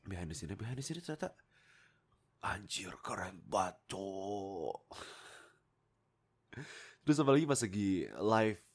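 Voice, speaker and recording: A young man, rambling casually and excitedly about himself into a close microphone. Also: low voice, unhurried, pauses often. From Indonesia. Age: young adult. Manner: rambling, animated, exclamatory, often breaking into giggles. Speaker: YouTube creator and amateur voice actor. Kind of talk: casual, unscripted storytelling about oneself. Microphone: close USB microphone.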